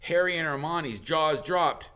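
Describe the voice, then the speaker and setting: A man's voice: on the high side, a little slow, sharp tone, clear, resonant tone. A man reading a storybook aloud as a teacher would, in dramatic narration.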